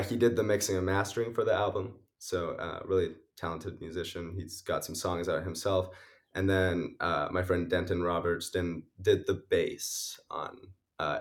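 A young man, a musician guest, answering interview questions casually, sniffly and rambling with many fillers. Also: deep voice. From Canada. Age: young adult. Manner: rambling, many likes and uhs, laughs often.

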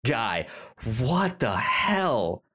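Brief: laid-back man co-host, unhurried pace, frequent stammers and restarts. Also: medium pitch, sing-song, sharp tone. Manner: stammers often, restarts words. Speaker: podcast co-host. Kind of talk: casual movie-review chat.

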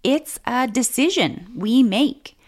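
A woman co-hosting a faith-based marriage podcast, speaking in a measured, unhurried way. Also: fairly high pitch, animated. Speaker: podcast co-host.

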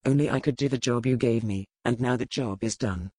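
An actor playing a brooding noble pirate captain in an audiobook, speaking in measured, formal old-fashioned phrasing. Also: male voice, mid-range voice, darkish tone, slightly rough, lightly acted. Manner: measured, cold, formal period speech. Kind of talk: audiobook character reading.

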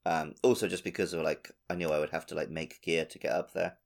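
A man chats casually as co-host of a gaming podcast. His voice is on the low side, with a clear creak and gravelly fry running through it. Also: flat delivery, fast talker.

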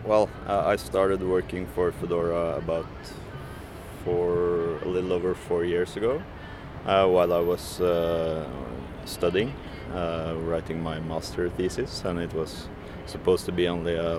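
Young man, very low voice, halting answers full of fillers, city traffic behind. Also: level tone. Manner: hesitant, with many fillers. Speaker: interviewee, bicycle courier and union organizer. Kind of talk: casual interview answers. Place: outdoors on a city square. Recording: outdoor street noise. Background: street noise.

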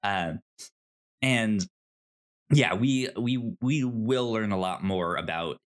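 A man co-hosting a casual discussion of a TV show, halting and repeating words as he thinks. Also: lowish voice. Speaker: podcast co-host.

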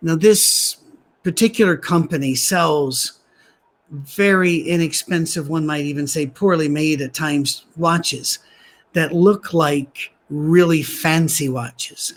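Soft-voiced man preaching, slightly high. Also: a little slow. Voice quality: soft, gentle voice. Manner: conversational with dry humorous asides. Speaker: pastor. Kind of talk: sermon. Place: church gathering on a soundstage.

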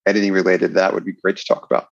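Podcast host guy, lowish voice, upbeat, muffled dry recording. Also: flat delivery, rapid-fire, dry recording. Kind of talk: podcast interview. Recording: dull, dry lo-fi sound.

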